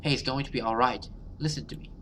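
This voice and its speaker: A man's voice: mid-range voice, steady tone, quick pace, bright, forceful tone, slight background noise, lightly acted. A man voicing a brave, protective young woman from a horror game, earnest and reassuring.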